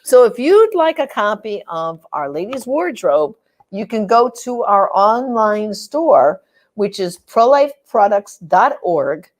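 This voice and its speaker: An older woman hosting, talking casually to her audience about a recommendation in a halting, conversational way. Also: mid-range voice, big pitch swings. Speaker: host. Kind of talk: casual promotional talk to audience.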